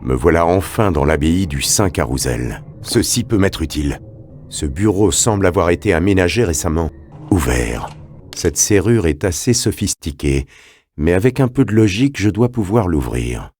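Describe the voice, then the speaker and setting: A man playing a grave adventurer hunting demons in a video game, speaking with formal, deliberate, theatrical diction. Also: deep voice, very animated, a little mellow, slight background noise. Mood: measured, observant. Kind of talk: video game voice acting, narrated observations.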